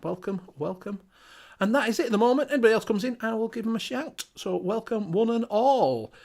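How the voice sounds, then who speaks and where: A man's voice: sits high, lively intonation, brisk, darkish tone. A man with a Northern English accent hosting a casual live-stream chat, swearing freely and reading out viewer names. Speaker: show host.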